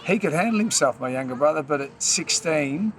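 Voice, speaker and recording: A man's voice: a little high, animated, a little fast, slight background noise, rough recording. A man, a former boxer, reminiscing in a documentary interview with easygoing, hesitant storytelling. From Australia.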